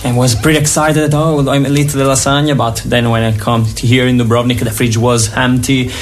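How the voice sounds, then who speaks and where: A young Italian man with an Italian accent, a native Italian speaker, telling an exchange-student story in animated, broken English. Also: mid-range voice, fairly quick, a little hiss or hum. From Italy. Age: young adult.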